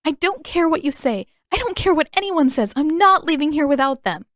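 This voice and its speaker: A woman's voice: sits high, very animated, a little fast, dry recording, studio quality, voice pushed up. A woman voicing a stubborn, distraught fourteen-year-old girl, emotional and insistent, in an audiobook story. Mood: defiant, desperate.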